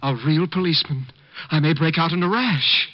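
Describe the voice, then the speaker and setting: A man's voice: on the high side, moderate pace, dry recording, natural voice. A man playing a fussy, gushing amateur sleuth who is easily thrilled, in an old radio drama style. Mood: giddy, eager. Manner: gushing, prim, excitable. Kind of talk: 1950s radio comedy-drama acting. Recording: muffled old radio recording.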